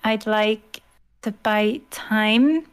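A woman's voice: medium pitch, extremely slow, clean tone. A woman in her thirties with a Northern Irish accent and a youthful, girlish voice, chatting casually as an interview guest in a hesitant, self-deprecating way. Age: 30s. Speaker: interview guest, author and podcaster. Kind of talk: casual chat.

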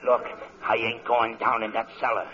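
An actor playing a nervous, easily alarmed American patient in a 1940s radio comedy, jittery and stammering. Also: male voice, mid-range voice, level tone, normal speed, bright, forceful tone, lightly acted. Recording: muffled, noisy vintage radio recording.

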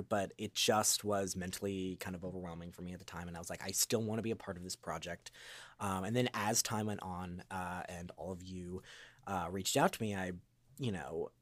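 A man's voice: sits low, normal speed, no room sound. A young man speaking as a guest voice actor in a casual interview, with a hesitant, rambling delivery.

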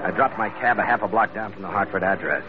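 A man's voice: fairly low pitch, fast talker, heavy background noise, natural voice. An actor playing a tough, wry sea captain who narrates his own adventures in 1940s radio style. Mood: tense narration. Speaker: lead actor narrating the story. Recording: dull old radio recording, loud music behind.

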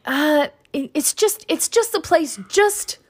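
A woman from Utah telling a long family story in casual conversation, wry and deadpan, with many fillers. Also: high voice, slow pace.

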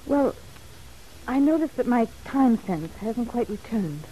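A woman's voice: fairly high pitch, animated, unhurried, mellow. An actress playing a cultivated, self-examining young woman convalescing in a sanitarium, speaking formal, articulate lines in an old radio soap opera. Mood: earnest, reflective. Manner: formal, articulate, hesitant phrasing. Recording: muffled, noisy old radio recording.

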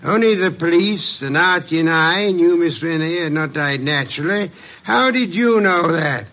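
An actor in a 1950s radio drama playing a shrewd, weary, imperious middle-aged detective who speaks deliberately and pompously. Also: male voice, fairly high pitch, expressive, pushed and bright. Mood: accusing, composed. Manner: deliberate, pompous, theatrical speech.

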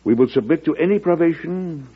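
An actor playing a calm, resolute president in an old-time radio drama, measured and statesmanlike. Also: male voice, fairly high pitch, slightly rough. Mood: earnest, deliberate. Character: calm, resolute male president addressing his cabinet secretaries. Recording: muffled vintage radio drama recording.

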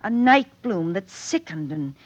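A woman's voice: fairly low pitch, big pitch swings, unhurried. A woman acting a wary, protective French wife and mother in a 1950s radio drama, with an intense, portentous manner. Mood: foreboding. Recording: muffled vintage radio recording.

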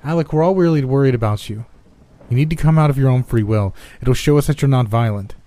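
A concerned woman as a male narrator reads her pleads in a horror story. The voice is lifted in pitch, earnest and persuasive, with a dry sound. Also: fast talker, mellow, no echo, close to an everyday voice. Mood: worried, persuasive.

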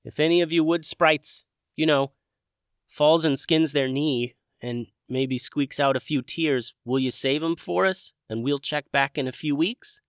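A man's voice: fairly high pitch, unhurried, clean tone, dry recording, clean studio sound, pitched above the performer's own voice. A man voicing an earnest, polite male dragon who talks hesitantly. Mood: hopeful.

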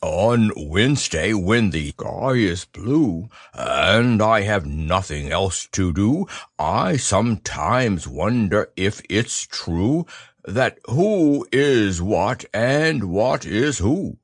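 A man voicing a slow, simple-minded, gentle male bear who stammers and hesitates. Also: lowish voice, big pitch swings, dry recording, studio quality, exaggerated voice. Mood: reciting.

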